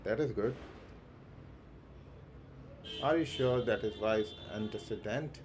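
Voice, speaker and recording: A man streaming a game, reading the on-screen dialogue aloud slowly and plainly, with stumbles and restarts. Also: fairly low pitch, noisy background.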